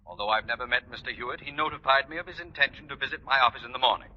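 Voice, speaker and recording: An actor playing a pompous, irascible middle-aged principal with clipped, flowery diction in a 1940s radio sitcom. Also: male voice, mid-range voice, rapid-fire, dull audio, lightly acted. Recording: noisy band-limited 1940s radio recording.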